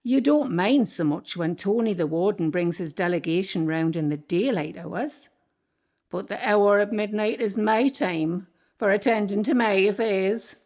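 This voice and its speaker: A woman acting the sharp-tongued ghost of an old landlady in a radio play, with a Northumbrian accent and a scolding, bossy way of talking. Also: medium pitch. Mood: indignant. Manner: scolding, bossy, quick to defend herself.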